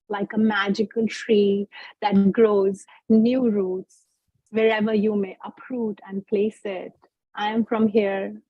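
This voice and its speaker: A woman from India, a poet, reading her poem and then answering questions in a slow, measured, reflective way. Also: mid-range voice, no echo, highs cut off. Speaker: guest poet. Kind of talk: poetry reading and interview answers.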